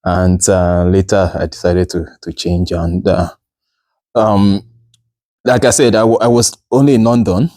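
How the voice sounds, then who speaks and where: A man in his thirties from Nigeria talks through his story as an interview guest, pausing on fillers and restarting his sentences. His voice sits low and mellow, recorded dry and close. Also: steady tone, dry recording. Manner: hesitant, many fillers and restarts, laughs often. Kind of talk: casual interview chat.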